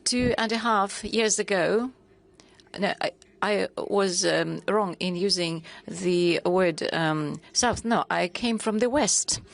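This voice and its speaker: A woman's voice: medium pitch, takes it slowly, vocal fry. A woman from Lebanon, asking a question at a forum in an apologetic, hesitant way. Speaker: audience questioner.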